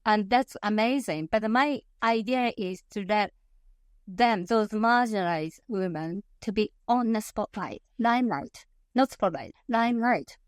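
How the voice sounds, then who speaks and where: A woman's voice: medium pitch, unhurried, no echo, studio quality. A woman in her fifties from Japan with a Japanese accent, a passionate interview guest who often laughs and corrects herself mid-sentence. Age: 50s. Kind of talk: conversational interview.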